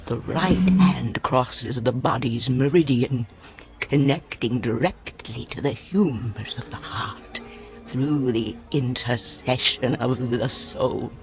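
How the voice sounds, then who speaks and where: An actress playing an old fortune teller in a radio drama, solemn, mystical and grandiose, sometimes hushed. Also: female voice, a bass voice, slow, soft background music, full character voice. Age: older. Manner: grandiose, incantatory, hushed at times.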